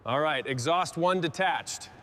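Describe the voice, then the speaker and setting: A man with a distinctly high voice for a male speaker calls out terse instructions as part of a machinery crew. His phrases are short and practical. Clear vehicle and machine noise runs behind him. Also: a little slow.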